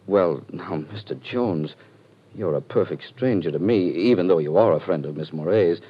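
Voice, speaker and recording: A man's voice: deep voice, normal speed. A man playing a sarcastic, stubborn wealthy young man in a vintage radio drama. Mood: sardonic. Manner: smooth, sarcastic, mocking politeness. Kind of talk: 1940s radio acting. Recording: dull old radio broadcast with faint hiss.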